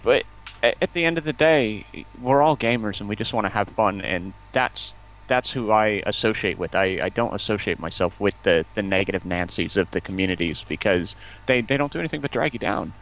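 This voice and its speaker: A man with a fairly low voice chats casually as a guest on a stream. His delivery is informal and halting, with words repeated as he gathers his thought. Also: expressive, a bit bright, poor audio. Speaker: interview guest, gamer. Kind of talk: casual online chat.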